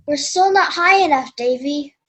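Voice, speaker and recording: A woman's voice: sits high, moderate pace, a bit bright. A woman playing an eager, folksy hunting sidekick in a children's readers theater piece, declaiming the lines. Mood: excited.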